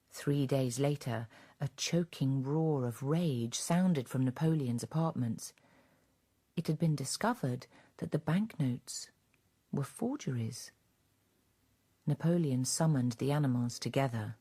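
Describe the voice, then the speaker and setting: A woman narrating a radio drama in a measured, scripted storytelling manner. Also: low-pitched, dark tone, husky.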